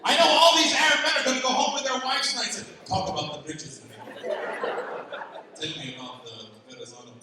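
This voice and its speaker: A Palestinian man doing a lively stand-up set, teasing the crowd and jumping up in pitch when excited. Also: brisk. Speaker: stand-up comedian. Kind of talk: stand-up comedy set at a gala. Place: large banquet hall. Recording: far-off mic in an echoey banquet hall with noise.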